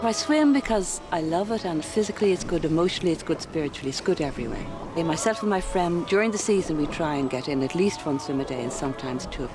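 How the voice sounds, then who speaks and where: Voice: medium pitch, fast, hardly any pauses. An older person being interviewed, speaking thoughtfully and searching for words. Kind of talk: reflective interview. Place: outdoors by the sea. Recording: distant mic with loud music.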